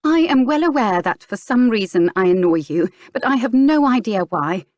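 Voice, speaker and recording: Woman doing a straight-laced young lady, indignant, high and sharp, dry close sound. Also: animated, moderate pace, no echo, lightly acted. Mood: furious. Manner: indignant, rising to anger.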